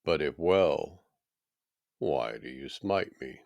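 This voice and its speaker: A man voicing a calm, resolute holy teacher on trial in a scripture reading, solemn and deliberate as he challenges his questioners. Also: very deep voice, creaky, no echo, full character voice. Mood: calm, firm.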